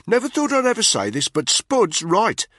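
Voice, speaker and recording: A man's voice: high-pitched, wildly swooping pitch, moderate pace, fairly bright tone, no echo, studio quality, voice pushed up, full character voice. A man voicing a scornful, hostile male soldier who sneers and swears, in an audiobook reading. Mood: sneering.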